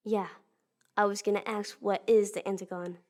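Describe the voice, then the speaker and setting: A woman's voice: mid-range voice, flat delivery, normal speed, a little mellow, natural voice. A woman playing a blunt, impatient and sarcastic Time Lord field agent in a sci-fi audio drama. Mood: curt.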